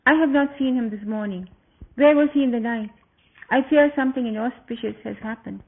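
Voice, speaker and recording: A storyteller voicing a gentle, devoted young queen who grows anxious about her husband. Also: medium pitch, moderate pace, voice pushed up, close to an everyday voice. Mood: anxious pleading. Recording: tinny phone-like lo-fi sound.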